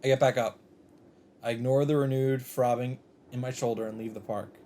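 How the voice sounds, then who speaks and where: A man reading a visual novel script aloud in an expressive narrating style. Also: medium pitch, moderate pace, a little hiss or hum. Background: game music.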